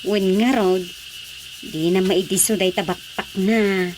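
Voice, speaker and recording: A woman's voice: mid-range voice, steady tone, slow pace, darkish tone, a little hiss or hum, close to an everyday voice. A woman acting a caring, curious village woman in natural radio drama dialogue. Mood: matter-of-fact.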